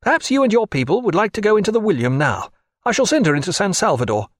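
A man voicing a brisk, decisive male ship's captain. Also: sits high, big pitch swings, no room sound, clean studio sound, voice pushed up.

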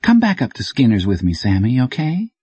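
A man's voice: medium pitch, wildly swooping pitch, a little fast, mellow, slightly rough, no room sound, voice pushed up. A man voicing a scrappy, defiant but scared young female bike messenger, terse and earnest, in an audiobook. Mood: uneasy. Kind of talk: audiobook character dialogue.

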